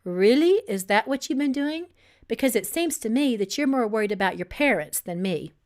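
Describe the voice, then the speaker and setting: A woman's voice: on the high side, lively intonation, normal speed, darkish tone, lightly acted. A woman reading a story aloud as a stern engaged man who is resentful, suspicious and firm. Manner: firm, edgy, incredulous.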